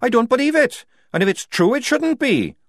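A bitter, defiant young woman soldier who growls and snaps, her voice pitched high and loud, as a male reader puts her on. She sounds dry and close, with lively swings of pitch in an audiobook reading. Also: normal speed, dry recording. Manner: growling, furious outbursts.

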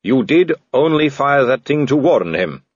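A man's voice: mid-range voice, very animated, unhurried, dry recording, muffled recording, voice pushed up. A man voicing a stiff male official who speaks formally, as if reciting a rehearsed line. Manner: formal, distant.

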